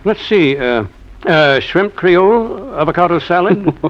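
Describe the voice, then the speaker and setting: Jittery, stammering professor on old radio. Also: male voice, sits high, expressive, measured pace. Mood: nervous. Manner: stammering, hesitant, evasive. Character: jittery, guilt-ridden male professor hiding a secret. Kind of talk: 1950s radio mystery acting. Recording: dull old radio drama recording.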